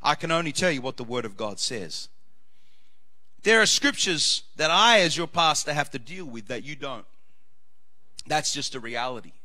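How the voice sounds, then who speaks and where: A man preaching as pastor, reading scripture and swinging between loud shouted climaxes and quieter asides. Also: medium pitch, animated, normal speed, long pauses. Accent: Australian. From Australia.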